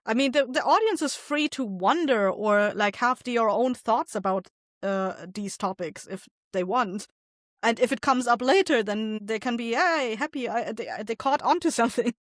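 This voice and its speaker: A woman speaking as an interview guest, explaining things informally and a little haltingly as she thinks. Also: fairly high pitch, dry recording, clean studio sound. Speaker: podcast guest, audio drama writer, director and voice actor. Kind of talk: casual interview conversation.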